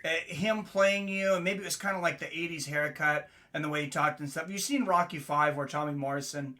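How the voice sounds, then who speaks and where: Bright-voiced chatty male host. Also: on the high side, brisk. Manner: chatty, hesitant, agreeable. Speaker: interview host. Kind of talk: casual interview questions.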